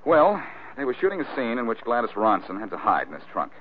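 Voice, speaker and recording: An actor playing a cool, sardonic amateur sleuth with teasing dry wit in old-time radio detective style. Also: male voice, medium pitch, huge pitch swings, fast. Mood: wry, reasoning. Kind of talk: 1940s radio detective acting. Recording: noisy, tinny old-time radio recording.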